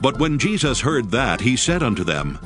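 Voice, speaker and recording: A man's voice: medium pitch, sing-song, normal speed. A man narrating dramatized scripture in a formal, solemn storytelling manner. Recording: music behind the voice.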